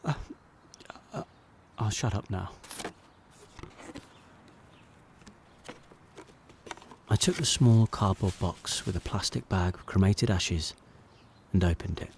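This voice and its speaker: An actor playing a regretful, sincere jobbing actor, narrating an audio drama in a halting, plain-spoken way. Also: male voice, deep voice, a little mellow, slight background noise. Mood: tender, awkward. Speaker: actor narrating as lead character.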